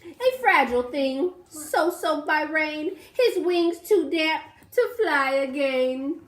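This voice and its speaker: A woman teacher reading a rhyming storybook aloud to young children, pausing to ask the class questions. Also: high voice, animated, reverberant.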